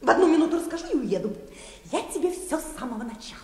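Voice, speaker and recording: Shrill-high, gushing young wife. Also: female voice, big pitch swings, racing, a crowd in the background. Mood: gushing, chatty. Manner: breezy, gushing, chattering. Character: gushing, flighty, chattering young wife of bank director. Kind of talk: theatrical radio play acting. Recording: echoey hall, long reverb.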